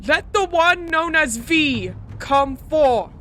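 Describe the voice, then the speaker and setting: Woman playing a high, bright vampire noblewoman. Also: expressive, takes it slowly, a little hiss or hum, exaggerated voice. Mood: commanding. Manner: imperious, formal diction. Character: regal, stern female vampire noble. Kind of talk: formal ceremonial audio drama acting.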